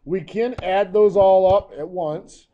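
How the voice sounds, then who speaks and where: A hoarse, coughing man teaching a math lesson, walking through each step patiently. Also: sits high, slow pace, muffled recording.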